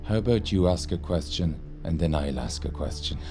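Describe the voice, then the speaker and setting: A man acting a smug, menacing interrogator, measured and condescending, in an audio drama. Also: sits low, lively intonation, over music. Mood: calm, condescending. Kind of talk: naturalistic audio fiction acting.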